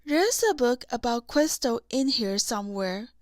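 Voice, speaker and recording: A woman voicing a composed, clever young ice queen with a calm, measured manner in a storybook. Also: on the high side, no echo, clean studio sound. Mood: thoughtful. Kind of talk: children's storybook character reading.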